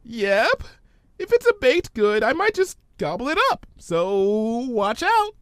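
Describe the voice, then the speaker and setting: A man's voice: very high voice, wildly swooping pitch, slow, fairly bright tone, no echo, studio quality, voice pushed up, exaggerated voice. A man voicing a carefree, giggly young female cartoon pony in a breezy, chirpy, exclamatory way. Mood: breezy, playful.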